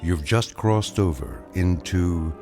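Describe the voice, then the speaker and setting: Deep, ominous male storyteller over prominent music. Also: animated. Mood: grave, ominous. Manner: measured, portentous. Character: grave, ominous male narrator of eerie tales. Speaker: narrator. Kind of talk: dramatic scripted radio drama narration.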